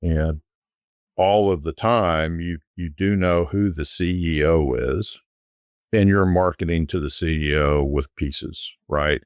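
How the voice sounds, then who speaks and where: A middle-aged man, a guest recalling his years selling private jets, talking in a relaxed, rambling way with frequent restarts. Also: sits low, takes it slowly, clear, resonant tone, no room sound. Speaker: guest, former private jet sales executive. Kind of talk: conversational storytelling.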